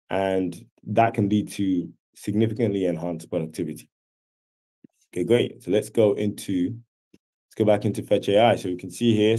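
A man teaches an online class in a low, mellow voice. He explains things in a casual, conversational way and keeps checking in with his listeners. He is speaking over a video call. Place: video call with screen sharing.

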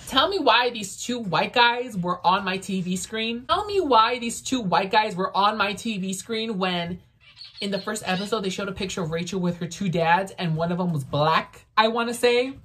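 Sassy husky-voiced woman vlogging from her bedroom. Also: lowish voice, a bit bright. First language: Spanish. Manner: sassy, outspoken, lots of fillers. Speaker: vlogger reviewing a TV series. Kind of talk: casual review chat. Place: bedroom, indoors.

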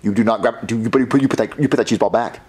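A man's voice: a little high, very animated, fast, creaky, crowd noise. A man playing an exasperated, bossy dad in a comic puppet skit, scolding and repeating himself.

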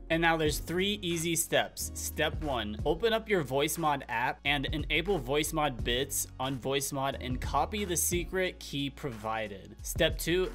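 A young man hosting a YouTube tutorial, speaking straight to viewers in clear step-by-step, upbeat scripted instructions. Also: a little high, fairly bright tone. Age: young adult. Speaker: tutorial video host. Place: small indoor room at a desk. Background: faint background music.

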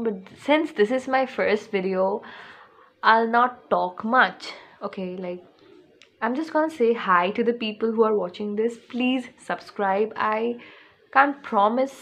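A teenage girl with an Indian English accent chatting into her phone camera for her first vlog, rambling with lots of fillers and restarts, indoors in a small room at home. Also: medium pitch. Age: teens. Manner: rambling, chatty, with many fillers and self-corrections. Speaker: vlogger. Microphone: phone camera held close.

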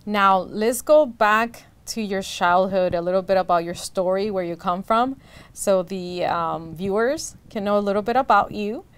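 A woman's voice: mid-range voice, normal speed, smooth. A middle-aged woman hosting an interview, asking friendly questions on a lapel mic in a studio set. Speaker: interviewer host. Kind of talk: interview conversation.

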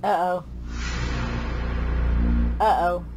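A middle-aged woman giving casual, excitable reaction commentary, blurting exclamations as she co-hosts a show. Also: fairly low pitch, drawn-out words, music in the background. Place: small living room, on a couch.

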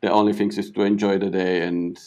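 A young Italian man with a slight South African tinge, a pro golfer answering interview questions in halting English that repeats words. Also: low voice, flat delivery, dark tone. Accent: Italian, with a touch of South African. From Italy.

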